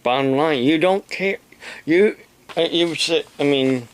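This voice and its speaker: Slow, halting man talking to camera. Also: on the high side, clear, resonant tone, poor audio. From United States. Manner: halting, with long pauses between short phrases. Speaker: amateur radio operator and preacher making a video. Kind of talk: unscripted explanatory vlog.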